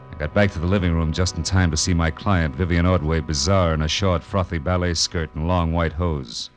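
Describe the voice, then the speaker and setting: A low-voiced American private eye, tough and world-weary. He talks in clipped, deadpan lines, narrating in wry first person like classic 1940s radio noir. Music plays behind him on an old radio drama recording. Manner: clipped, hard-boiled, deadpan. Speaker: lead actor and narrator of a detective serial.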